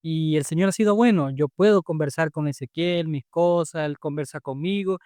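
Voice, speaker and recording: Venezuelan man, cheerful faith podcast co-host, fairly high voice, dry close mic. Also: moderate pace, warm tone, no room sound. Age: adult, married. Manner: cheerful, affectionate, enthusiastic.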